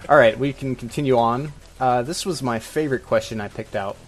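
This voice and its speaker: A man's voice: medium pitch, a little fast. Wry man co-hosting with music behind. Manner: wry, hedging, joking asides. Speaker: podcast co-host. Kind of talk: casual comedic advice chat.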